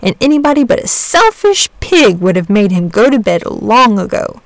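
A woman's voice: medium pitch, sing-song, normal speed, gravelly, no echo. A woman voicing a kind but firm grown man, a saint, who speaks steadily.

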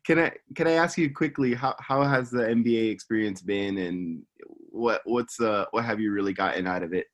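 Mężczyzna, swobodny prowadzący podcastu. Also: male voice, lowish voice, moderate pace, few pauses. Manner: relaxed, hesitant with fillers. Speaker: podcast host. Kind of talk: casual interview chat. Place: Japan.

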